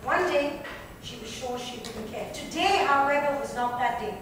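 A woman's voice: high-pitched, animated, normal speed, fairly bright tone. A woman reading a novel aloud as dramatic third-person narration. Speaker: reader. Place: club lounge with seated panel, indoors. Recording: echoey room, distant mic, clear noise.

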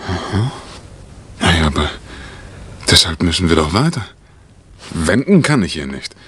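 An actor playing a jokey, self-assured husband who fancies himself a detective, in a 1960 German crime radio play. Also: male voice, sits low, big pitch swings, unhurried, fairly bright tone, breathy edge, full character voice. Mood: casual. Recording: noisy old 1960 vinyl radio play.

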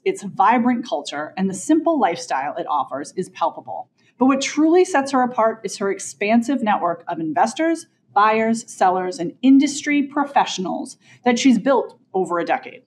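Host: woman with a slightly husky tone, enthusiastic, video call sound. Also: fairly high pitch, a little mellow. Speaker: podcast host. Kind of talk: casual interview chat and scripted intro. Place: office with desks.

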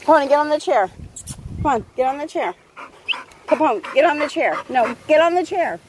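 A woman with a fairly high, very animated voice chats casually as a pet vlog host. She talks playfully in a sing-song way and stretches out words. She is recorded outdoors on a handheld device, with faint noise behind her. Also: moderate pace. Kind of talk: casual playful chat to pets and viewers. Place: outdoors in a backyard by a pool.

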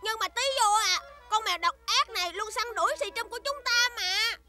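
A woman's voice: very high voice, fairly quick. A woman playing a childlike, indignant gnome villager, protesting emphatically in a cartoon dub. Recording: music behind the voice.

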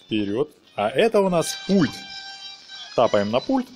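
A man's voice: medium pitch, big pitch swings, a little slow, a little mellow, soft background music. A man giving a casual, playful walkthrough commentary on a video game, chatting to the characters as he plays. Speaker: gaming video host.

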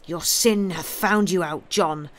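A man voicing a bitter, menacing ex-convict gentleman in an audiobook, declaiming with grim sardonic mockery. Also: sits high, takes it slowly. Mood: accusing, sardonic.

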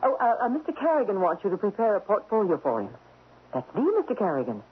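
An actress playing a dutiful, attentive secretary in a radio drama, with a husky voice. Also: female voice, medium pitch, wildly swooping pitch, fast, a little hiss or hum. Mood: brisk, solicitous. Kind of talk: 1970s radio drama acting. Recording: tinny, narrow old radio recording.

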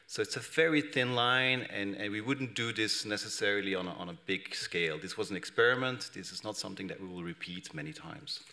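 Bright-voiced Belgian man on a panel. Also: fairly low pitch, a little fast, few pauses. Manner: hesitant, many restarts and fillers. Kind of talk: panel discussion answer. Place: large conference hall. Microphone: table microphone on stand.

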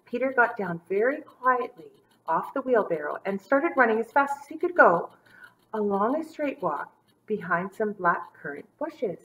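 A woman in her thirties reading a children's story aloud with dramatic emphasis and drawn-out words. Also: mid-range voice, animated, rough recording. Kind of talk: expressive storybook read-aloud for young children. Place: small indoor room set up as a classroom.

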